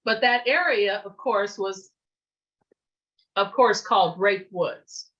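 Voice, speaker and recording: A woman with a mid-pitched voice shares her memories as a guest on a virtual interview. She speaks casually and reflectively, often pausing to find the next phrase. The sound is muffled, like a basic video call. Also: fairly bright tone. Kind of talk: casual storytelling, reminiscing.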